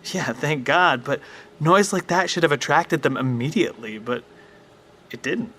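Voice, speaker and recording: An actor playing a curious, persuasive survivor in an audio drama, speaking earnestly and urgently. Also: male voice, a little high, unhurried, fairly bright tone, a little hiss or hum.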